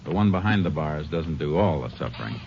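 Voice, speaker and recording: A man acting a steady, no-nonsense Texas Ranger in a 1950s radio drama, clipped and matter-of-fact. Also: extremely low pitch, a little fast, gravelly, a little hiss or hum, heavily acted. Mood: measured. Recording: muffled vintage radio recording, music.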